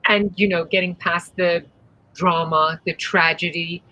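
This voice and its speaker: Panel guest: woman from Iran, Persian native speaker, lowish pitch, punchy and expressive, video-call audio. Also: unhurried, low-quality recording. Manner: expressive, sassy, earnest. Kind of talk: candid panel conversation. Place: home room.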